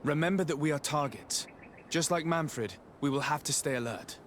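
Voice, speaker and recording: An actor playing a calm, authoritative male diplomat in a video game, speaking formally. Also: a little high, a little hiss or hum, lightly acted. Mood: measured. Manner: measured, formal.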